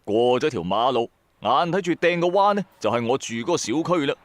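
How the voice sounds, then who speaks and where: A man with a Hong Kong Cantonese accent telling a first-person story in an expressive, colloquial way, with chatty asides to the listener. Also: mid-range voice, fairly quick. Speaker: storyteller, narrator. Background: background music.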